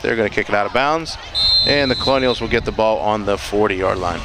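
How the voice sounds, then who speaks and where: A man doing relaxed live play-by-play of a high school football game, joking and filling pauses with lots of "uh"s and restarts. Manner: easygoing, joking, many fillers and restarts. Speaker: play-by-play commentator. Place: outdoor football stadium. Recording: loud crowd and stadium noise. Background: crowd noise.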